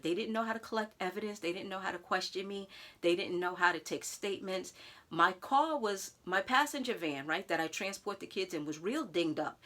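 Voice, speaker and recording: A woman vlogger telling a personal story straight to her viewers in a casual, animated way. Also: on the low side. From United States. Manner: animated, talks straight to viewers with "y'all", acts out others' lines.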